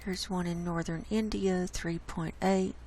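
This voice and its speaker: A hoarse woman hosting her own channel, walking casually through earthquake maps on screen and pointing out each spot with an unhurried, gravelly delivery. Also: fairly low pitch, steady tone, darkish tone, slight background noise. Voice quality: gravelly, coughs and clears throat. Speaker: online science channel host. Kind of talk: casual screen-share data report. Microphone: desk mic.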